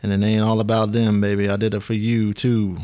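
A man's voice: fairly low pitch, level tone, a little fast, a little mellow, smooth, dry recording, close to an everyday voice. A man playing a creepy, swaggering 1970s pimp in a comedy radio play, drawing out boastful rhymes and calling everyone baby. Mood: smooth, boastful. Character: creepy smooth-talking 1970s pimp, swaggering lothario hero.

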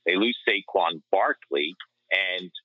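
Lowish-voiced man on dull lo-fi audio. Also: slow, no room sound. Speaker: sports podcast co-host. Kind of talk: casual sports analysis.

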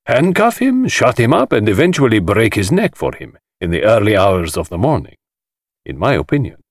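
A flamboyant, sinister gentleman host from a mystery audiobook. His delivery swoops extravagantly high and low, drawling and hissing with mockery. The sound is close and dry. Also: dry recording. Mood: mocking, persuasive. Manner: theatrical, hissing, drawling affectation. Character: flamboyant, mocking, sinister middle-aged male party host. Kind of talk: dramatised audiobook dialogue.

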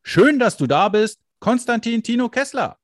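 A man's voice: very high-pitched, sing-song, normal speed, dry recording. Guy hosting, jokey, bouncy up-and-down voice, dry sound. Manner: chatty, joking, frequent laughs and fillers. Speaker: podcast host. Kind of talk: casual interview chat.

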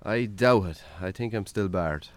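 An actor playing a weary, wry Irish farmer in a naturalistic radio drama. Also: male voice, fairly low pitch, unhurried, no room sound, close to an everyday voice.

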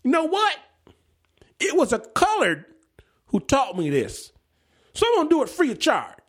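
Man putting on a swaggering old farmhand, pitched up. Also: moderate pace. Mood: loud, showy. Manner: loud, folksy, showy. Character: swaggering older male farmhand well-dowser.

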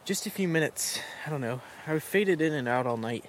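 A man's voice: a little high, animated, fairly quick, slight background noise, lightly acted, poor audio. An actor playing a wary, nervous man in a naturalistic audio drama, talking in a casual, hesitant mutter. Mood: groggy, casual. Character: wary, nervous man called Doc, lost outdoors.

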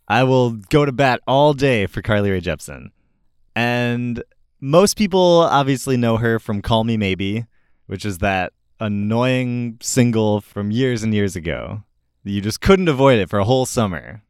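A man's voice: mid-range voice, expressive, moderate pace, clear, resonant tone, dry recording. Male host for casual album-review talk. Informal, opinionated, keen; clean resonant voice, dry recording. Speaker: host of a music review show.

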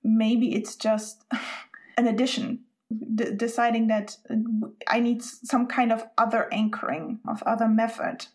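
Reflective woman host, higher voice. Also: steady tone, measured pace, darkish tone. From Spain. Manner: reflective, thinks aloud. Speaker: podcast host. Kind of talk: conversational podcast interview.

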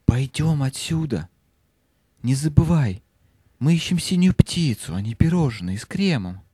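A man's voice: medium pitch, animated, unhurried, mellow, husky, dry recording, studio quality. An actor playing an earnest, determined young boy on a quest in a fairy-tale audio play, speaking insistently. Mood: firm, urging. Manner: insistent, urgent.

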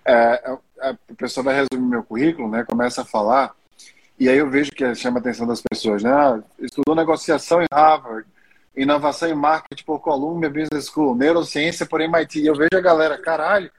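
A man's voice: on the high side, fairly even intonation, fast talker, slightly rough, rough recording. A man from Maceió with a Northeastern Brazilian accent, interviewing a guest in a casual, joking, self-deprecating way. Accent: Northeastern Brazilian (Alagoas). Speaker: interviewer and speaker. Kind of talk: casual conversation.

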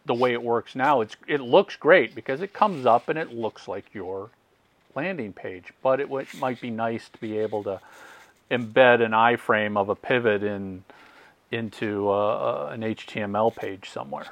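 A man with a medium-pitched voice hosts the interview, eager and stumbling over repeated words as he asks questions. The sound is close and dry, with no room echo. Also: normal speed. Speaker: podcast host. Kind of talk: casual interview talk.

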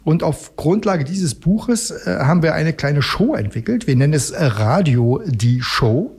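A man's voice: a little high, lively intonation, unhurried, darkish tone, husky. A middle-aged man chatting casually in a radio studio, feeling his way through explanations with hesitant, wry understatement. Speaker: radio magazine studio guest and regular contributor.

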